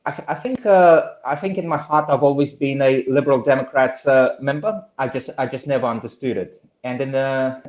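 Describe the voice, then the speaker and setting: A man answers questions earnestly, often pausing and restarting. His voice sits at a middle pitch. The recording is dull and lo-fi, with a roomy echo. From Russia. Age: 30s. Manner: earnest, halting, many uhs and restarts. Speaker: political candidate, guest. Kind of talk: interview answers. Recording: echoey room, dull lo-fi sound.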